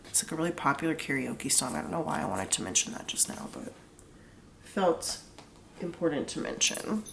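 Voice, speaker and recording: A woman's voice: deep voice, sing-song, moderate pace, creaky, a bit bright, slight background noise. A young woman with a stuffed-up nose from a cold, chatting casually through a tarot reading and explaining each pull with lots of "like". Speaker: tarot reader.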